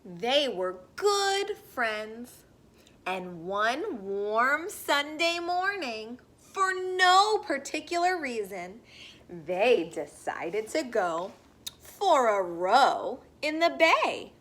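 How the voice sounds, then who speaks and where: A woman reading a children's picture book aloud expressively, in a sing-song way, pausing to put questions to young listeners. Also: on the high side, big pitch swings, a bit bright.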